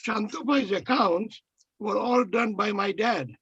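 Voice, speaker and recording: A man's voice: high voice, unhurried, a bit bright, husky. A man on a video call eagerly asking a question, often repeating himself. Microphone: video call microphone. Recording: dull lo-fi call audio.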